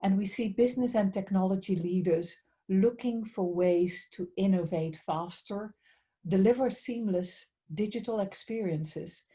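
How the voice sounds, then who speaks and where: A woman's voice: medium pitch, dark tone. A woman with a Dutch accent presenting a business webinar politely, often saying how grateful she is, over a video call. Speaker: head of strategic accounts.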